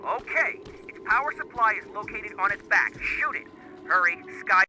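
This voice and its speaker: A man's voice: high voice, expressive, normal speed, bright, forceful tone, soft background music, heavily acted, low-quality recording. A man voicing a nervy resistance operator who guides a soldier remotely in a video game. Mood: urgent. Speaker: mission guide over radio. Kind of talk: acted video game dialogue.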